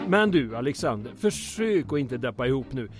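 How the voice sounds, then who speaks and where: An actor playing a harried, deferential town mayor in an old-time radio drama, hesitant, apologetic and formal. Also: male voice, medium pitch, big pitch swings, faint music behind, natural voice. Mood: placating.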